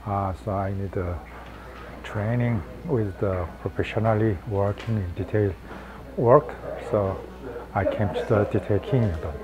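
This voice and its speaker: A man from Virginia giving a testimonial, speaking carefully with slightly halting phrasing. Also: lowish voice, poor audio. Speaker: auto detailing student. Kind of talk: testimonial interview. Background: clear background music.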